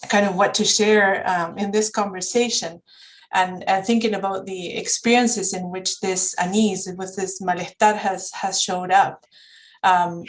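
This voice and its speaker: A woman whose first language is Spanish, speaking on a video call at a medium, everyday pitch. She talks in a reflective, conversational way, pausing to search for words and starting phrases over as she feels her way toward what she means. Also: rough recording. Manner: thoughtful, searching for words with many restarts. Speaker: counselor speaking on a panel.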